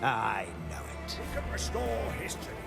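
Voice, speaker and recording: An actor playing an embittered, scheming outlaw in a fantasy game, pleading and vengeful, with a rough, wheedling manner. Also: male voice, mid-range voice, huge pitch swings, moderate pace, exaggerated voice. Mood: insinuating, earnest. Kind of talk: dramatic fantasy game acting. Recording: music behind the voice.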